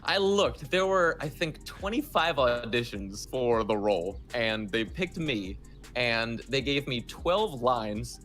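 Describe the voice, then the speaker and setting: A man speaks in a middling register as a guest in a casual interview. He tells his stories with animated emphasis, like a performer talking shop. Music plays behind his voice. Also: mid-range voice, takes it slowly.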